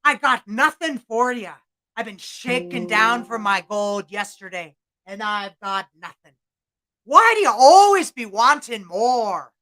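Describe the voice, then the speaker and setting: A woman's voice: on the high side, expressive, a little slow, sharp tone. A woman voicing a grumpy, weary leprechaun cobbler who scolds in exasperated, folksy storybook speech. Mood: frustrated.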